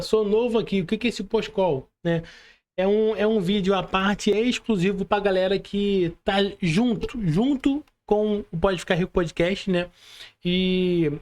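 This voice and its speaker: A man with a Brazilian Portuguese accent and a notably high voice hosts a casual podcast. He talks colloquially, addressing listeners like buddies and peppering his sentences with short tag questions. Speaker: podcast host.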